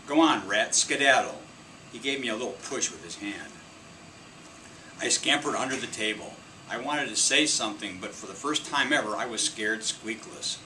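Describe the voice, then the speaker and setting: Guy reading a kids book out loud, lowish voice, far from the mic. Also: moderate pace. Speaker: storybook reader. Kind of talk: expressive read-aloud of a children's story. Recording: distant mic, clear air-conditioning hum.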